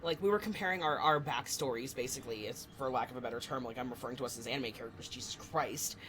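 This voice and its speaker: A woman in her twenties co-hosting a podcast, chatting casually with animated, swearing asides. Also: extremely low pitch, fast talker. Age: 20s. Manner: animated, swearing, self-deprecating. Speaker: podcast co-host. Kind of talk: casual chat.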